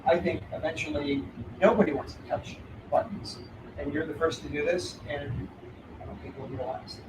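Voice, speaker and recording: A man's voice: fairly high pitch, normal speed. A man, a running expert, speaking enthusiastically and loosely to an audience. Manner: enthusiastic, loose, uses fillers like "like". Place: event room with audience. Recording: roomy hall, distant voice, clear noise.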